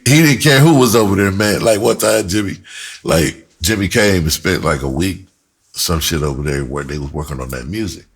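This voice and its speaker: Deep-voiced man with a husky edge. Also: normal speed. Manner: animated, profane, slangy, repeats himself for emphasis; breaks into laughter often while talking. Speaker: screenwriter and performer telling his own story. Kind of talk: casual storytelling chat.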